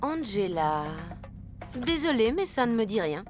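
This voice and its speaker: A woman's voice: on the high side, big pitch swings, a little slow. A woman voicing a sly, haughty villainess in a cartoon. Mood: feigned helpfulness. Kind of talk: animated cartoon dub. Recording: music under the dialogue.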